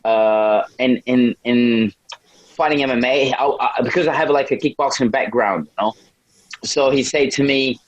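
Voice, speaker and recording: Brazilian man in casual, halting conversation. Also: medium pitch, lo-fi sound, a little hiss or hum. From Brazil, small town in the north. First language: Portuguese. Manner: halting non-native English with repeated words. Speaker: MMA fighter, podcast guest. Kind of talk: casual interview answers.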